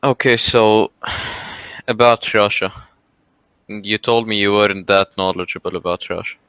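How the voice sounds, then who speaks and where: A man with a Lebanese accent debating on a voice call, halting at times with fillers. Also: on the low side, fairly even intonation, fairly bright tone, smooth, no room sound.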